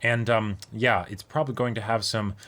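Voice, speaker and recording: A man's voice: on the low side, steady tone, normal speed, a bit bright. Casual man chatting as co-host. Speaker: co-host of an anime reaction discussion. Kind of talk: casual chat, unscripted commentary.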